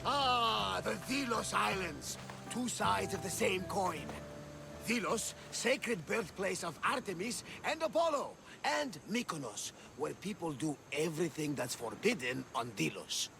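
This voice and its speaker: An actor playing an excitable older sailor in a video game, telling things theatrically in a Greek accent. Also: male voice, sits high, measured pace, vocal fry, over music, exaggerated voice. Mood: jovial, dramatic. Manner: theatrical, jovial storytelling. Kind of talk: cinematic video game acting. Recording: faint background ambience.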